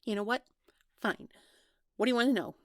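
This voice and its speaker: A woman acting in an audio roleplay as a defensive, anxious young woman who talks in a casual, halting, exasperated way. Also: on the high side, dry recording, clean studio sound, lightly acted. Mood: defensive, irritated.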